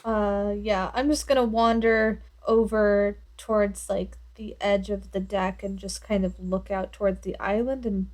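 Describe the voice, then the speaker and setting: A woman's voice: medium pitch, little pitch movement, a little slow, hardly any pauses, darkish tone. A woman playing a tabletop role-playing game, chatting casually and playfully as she describes her character's actions.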